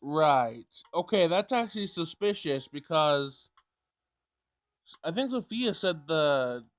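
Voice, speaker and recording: A man hosting a gaming video, casually thinking out loud in a tentative way as he plays and reads. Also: a little high, very animated, slow pace. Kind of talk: casual let's play commentary and read-aloud game text.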